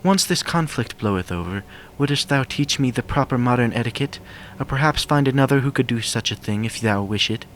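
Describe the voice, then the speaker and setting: A man's voice: medium pitch, expressive, fairly quick, slight background noise, pitched above the performer's own voice, lightly acted. A man voicing a regal, earnest pony princess in a fan story reading, with awkward, archaic, formal speech. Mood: hesitant, apologetic. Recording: music behind the voice.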